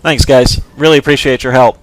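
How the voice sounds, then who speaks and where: A man's voice: medium pitch, fairly even intonation, fairly quick, rough recording. A man casually commenting over his gameplay, explaining abilities as he plays with frequent restarts. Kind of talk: casual let's-play commentary.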